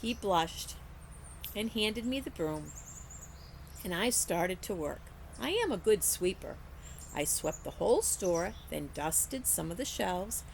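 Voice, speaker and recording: A woman narrates a children's story with care and expression. Her pace is slow and her pitch moves widely, with creak in the voice. Faint noise sits in the background. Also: sing-song, low-quality recording. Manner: deliberate, careful storytelling for children. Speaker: teacher reading aloud.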